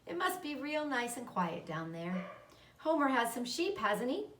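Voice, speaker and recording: A woman's voice: sits high, very animated, measured pace, fairly bright tone, full character voice. A thoughtful old country doctor from a storybook, played by a woman, musing and reassuring in a philosophical way. The recording is roomy and echoey, slightly distant from the mic. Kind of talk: children's book read-aloud. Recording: roomy echo, distant microphone.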